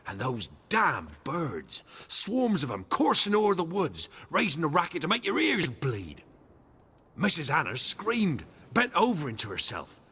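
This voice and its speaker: An actor playing a humble, fearful peasant in a video game, earnest and deferential, telling things in rustic dialect. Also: male voice, on the high side, wildly swooping pitch, unhurried, sharp tone, breathy edge, full character voice. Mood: haunted, awed. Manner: rustic dialect grammar, deferential, dramatic storytelling.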